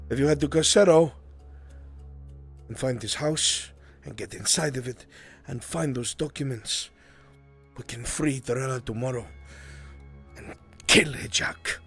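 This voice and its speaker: Man playing a deliberate, grave fantasy conspirator. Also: mid-range voice, a bit bright, slightly rough. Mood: grave, purposeful. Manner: deliberate, commanding, gives instructions. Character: grave male conspirator hiring agents for a covert mission. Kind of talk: improvised fantasy roleplay in VR. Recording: music behind the voice. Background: background music.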